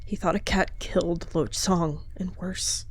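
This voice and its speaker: A woman voicing a secretive she-cat warrior who speaks in a tense, hushed, defensive way. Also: on the low side, fairly even intonation, unhurried, slight background noise, close to an everyday voice. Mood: shaken.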